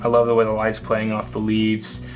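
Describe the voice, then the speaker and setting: A young man, a photographer, casually talking through his photos, relaxed and rambling with many fillers. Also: on the low side, moderate pace, low-quality recording. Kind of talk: casual screen walkthrough. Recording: faint guitar music underneath. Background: faint guitar music.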